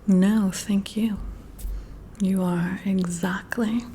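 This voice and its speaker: A woman whispering up close in an ASMR roleplay as a flirty, intrigued boss, breathy and intimate with drawn-out pauses. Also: mid-range voice, fairly even intonation, mellow, a little hiss or hum. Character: flirty, intrigued female boss giving a job interview. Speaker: ASMR performer role-playing a flirty new boss. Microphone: close-up ASMR microphone.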